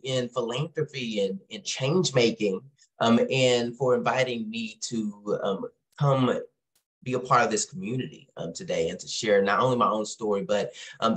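A man in his thirties with a Texan accent, a nonprofit co-founder speaking earnestly as a webinar guest over a video call, with frequent ums. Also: medium pitch. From Texas. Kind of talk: webinar talk. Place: small room at home.

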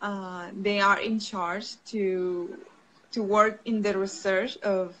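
A woman's voice: medium pitch, steady tone, slow, a bit bright. A young woman from Venezuela, telling a personal story on a video call in careful English with restarts. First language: Spanish. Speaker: guest. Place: home room. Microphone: laptop mic on a video call. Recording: video call with faint outdoor noise.

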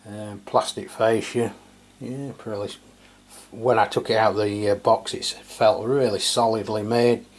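A middle-aged man giving a casual, unscripted product demonstration, thinking aloud in a tentative way. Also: on the low side. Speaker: radio product reviewer. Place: indoors at a tabletop. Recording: clear background noise, room ambience.

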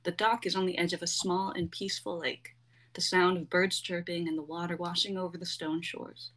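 A young woman narrates a script, reading the stage directions aloud. Her voice sits slightly lower than most women's. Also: level tone, brisk, a bit bright, low-quality recording. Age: young adult.

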